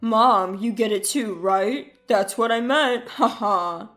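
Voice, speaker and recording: A woman's voice: on the high side, slow pace, a bit bright, soft background music. A woman voicing a boastful, defensive husband who is contemptuous of his wife, blustering through a dramatized family story. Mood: smug. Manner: blustering, dismissive.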